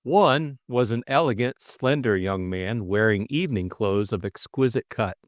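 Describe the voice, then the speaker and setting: A man's voice: lowish voice, animated, moderate pace, dry recording, clean studio sound. A man reading a classic mystery audiobook as scripted dramatic narration.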